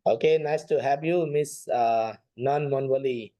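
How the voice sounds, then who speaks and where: A man's voice: mid-range voice, unhurried, dark tone, dry recording. A man teaching an informal online class as a Buddhist monk, speaking hesitantly and often repeating phrases. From Nepal.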